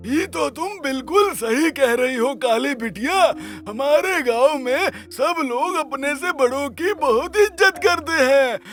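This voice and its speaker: A very high, slow voice for a genial older village headman, acted by a man in a cartoon. He draws out his rustic speech while music plays faintly behind him. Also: lively intonation, fairly bright tone, heavily acted. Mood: approving. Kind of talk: cartoon dialogue.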